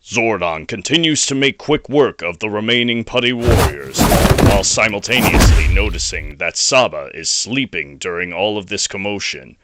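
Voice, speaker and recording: A man's voice: on the low side, animated, normal speed, a bit bright. A man narrating a scripted action audio drama as a storyteller. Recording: noisy home recording.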